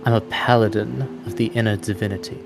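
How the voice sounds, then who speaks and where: A man voicing a courteous, formal woman paladin in a tabletop game, measured and insistent. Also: on the low side, fairly even intonation, gravelly, music in the background. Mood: formal, earnest.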